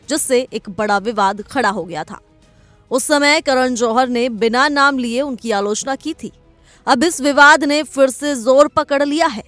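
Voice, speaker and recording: A woman's voice: fairly high pitch, fairly bright tone, dry recording. A woman presenting a scripted entertainment news report as a narrator. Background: background music.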